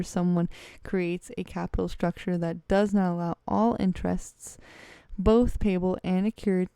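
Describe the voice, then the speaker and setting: A woman reads aloud from a text in a smooth, mellow voice. She trips over words and corrects herself as she goes. The sound is close and dry. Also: medium pitch, dry recording. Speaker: vlogger reading a letter aloud.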